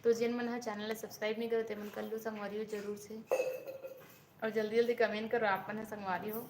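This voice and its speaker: A woman's voice: mid-range voice, flat delivery, brisk. A young woman with a Chhattisgarhi accent, chatting casually with viewers on a live stream and asking them to subscribe. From Raipur district, Chhattisgarh. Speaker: vlogger hosting a live stream. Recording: echoey room, faint hiss.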